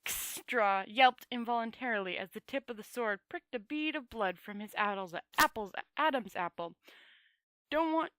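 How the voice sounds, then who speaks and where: A woman's voice: fairly high pitch, pushed and bright, no room sound. A woman reading a fantasy novel aloud as an amateur, stumbling and rereading, with casual asides. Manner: halting, often stumbling over words and rereading. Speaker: amateur audiobook reader.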